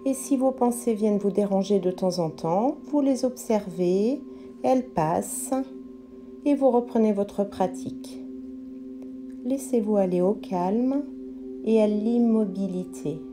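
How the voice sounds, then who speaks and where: A woman leading a guided yoga relaxation as an instructor, speaking calmly in measured, instructive phrases. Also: mid-range voice, noisy background. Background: meditation music with singing bowl tones.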